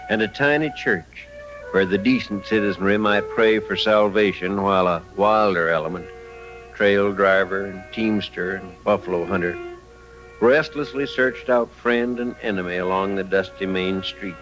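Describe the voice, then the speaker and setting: An actor as a grave storyteller of the old West, narrating a radio drama in a measured, descriptive way over music. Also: male voice, sits low, natural voice, poor audio. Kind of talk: dramatic scripted narration, 1950s radio western.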